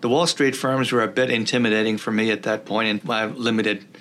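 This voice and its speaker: Older man with bright, hesitant speech. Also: fairly low pitch, flat delivery, a little hiss or hum. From rural Texas. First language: Czech. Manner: halting, many "uh" fillers and restarts. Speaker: law professor, guest. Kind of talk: interview, recalling his career.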